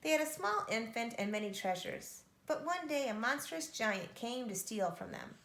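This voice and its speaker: A woman voicing a kindly, sorrowful old woman telling her tale gravely in a storybook. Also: a little high, very animated, normal speed, fairly bright tone, full character voice. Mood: wistful. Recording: echoey room.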